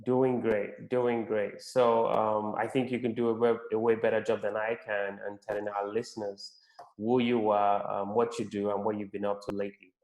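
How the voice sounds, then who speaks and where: A man hosting a podcast, asking his guest questions in a steady, even-toned way. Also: fairly low pitch, normal speed, reverberant, low-quality recording. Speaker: podcast host. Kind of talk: interview hosting.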